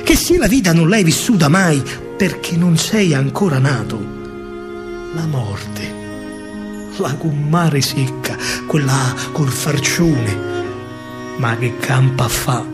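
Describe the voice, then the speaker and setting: Male storyteller, Romanesco accent, lyrical stage monologue, widely swinging pitch and unhurried delivery over live music. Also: expressive. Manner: declamatory, dramatic, lyrical. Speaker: solo stage actor and storyteller. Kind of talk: theatrical verse monologue in dialect. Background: live musical accompaniment.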